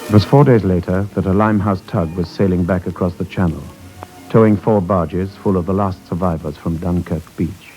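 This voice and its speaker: A British man narrating a solemn wartime tale in measured, literary style for a 1950s radio drama. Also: low voice, steady tone, over music, natural voice, rough recording.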